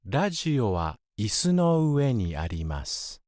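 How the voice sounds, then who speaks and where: Lively-toned man reading drill phrases. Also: lowish voice, soft background music. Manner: measured, even enunciation of words and short sentences. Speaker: language course audio speaker. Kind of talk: clear word-by-word pronunciation drill.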